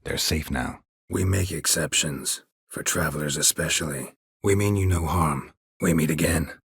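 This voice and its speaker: A male actor playing a stoic, world-weary monster hunter in a video game, terse and deadpan, with a low gravelly rasp. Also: moderate pace, no echo, studio quality, full character voice. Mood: deadpan, businesslike. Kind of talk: video game character dialogue.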